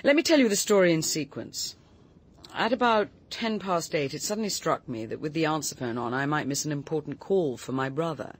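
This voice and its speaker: Brisk, self-possessed British woman. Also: low-pitched, expressive, husky. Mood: brisk, composed. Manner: brisk, assured, precise. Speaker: actor. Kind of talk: radio drama acting.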